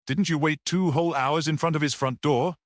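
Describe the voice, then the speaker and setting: A man's voice: on the high side, a little fast, no room sound, clean studio sound, voice pushed up, lightly acted. A man voicing a gaunt male prosecuting barrister in an audiobook, incredulous and insistent in his questioning.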